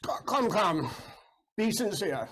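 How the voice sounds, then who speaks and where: A man's voice: high-pitched, sing-song, takes it slowly, a bit bright, breathy edge, heavily acted. A man playing a suspicious, lordly count in a stage comedy audio play, imperious and insistent. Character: suspicious, lordly count questioning his servant.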